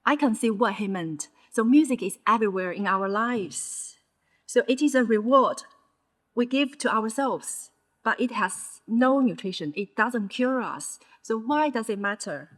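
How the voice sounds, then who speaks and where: A woman in her thirties from mainland China, a Chinese speaker with a Chinese accent touched by Scouse, giving a conference talk in measured short phrases. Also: a little high, expressive. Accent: Chinese with a Liverpool (Scouse) tinge. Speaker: conference presenter. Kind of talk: personal storytelling talk.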